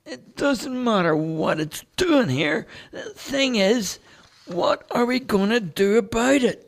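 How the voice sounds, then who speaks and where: A man voicing Dale, a homeless street artist who talks earnestly and dreamily, read from a play script with a gravelly edge. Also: extremely high pitch, sing-song, slow, voice pushed up, heavily acted. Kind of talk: amateur play read aloud.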